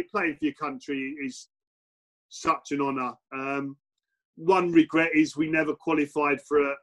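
A middle-aged English man, a former footballer and coach, answering interview questions in a relaxed, reflective way with many fillers and restarts. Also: fairly high pitch, rough recording. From England.

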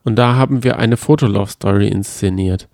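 A man's voice: fairly low pitch, fairly quick, vocal fry, darkish tone, dry recording, clean studio sound. A young man co-hosting a podcast, chatting casually in a joking, self-ironic way. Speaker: co-host of a reality TV podcast. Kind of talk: casual chat.

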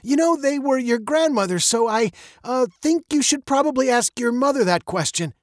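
A narrator as a protective storybook dad, animated and raised. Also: medium pitch, moderate pace, no echo, pitched above the performer's own voice, lightly acted. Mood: flustered. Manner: emphatic, scolding, easily flustered. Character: protective, flustered, stern father of a young girl.

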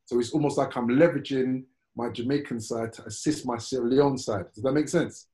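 A man's voice: mid-range voice, steady tone, brisk, mellow. A man chatting on a podcast, circling his point with restarts and repeated words. His voice sits in a middle register. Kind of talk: casual chat.

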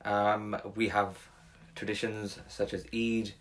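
A man's voice: lowish voice, level tone, takes it slowly. A young man, talking casually as a podcast guest, rambling with many fillers and restarts. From Wales. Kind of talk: casual conversation.

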